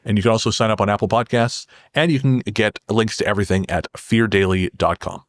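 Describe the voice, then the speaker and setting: A man's voice: fairly low pitch, fairly even intonation, brisk, dry recording, studio quality. A man from Canada talking as a podcast guest in a reflective, conversational way, often restarting his phrases. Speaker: podcast guest, horror fiction creator. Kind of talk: conversational interview talk.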